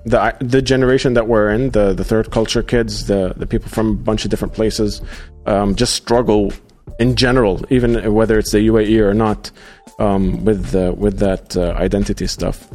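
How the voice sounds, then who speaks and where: Halting male podcast host, music behind. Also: lowish voice, steady tone, darkish tone. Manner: halting, many fillers and restarts. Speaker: podcast host reading live chat. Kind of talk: casual livestream chat.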